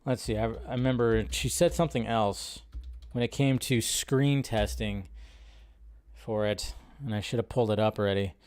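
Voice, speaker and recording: A man hosting a casual live movie news show, rambling through his commentary in an animated way with exasperated asides. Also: on the low side, normal speed, clear, resonant tone.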